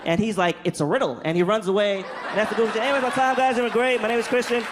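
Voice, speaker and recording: A man's voice: sits high, steady tone, racing. A man doing a stand-up set on a comedy club stage, rambling through a story. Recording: club crowd and noise.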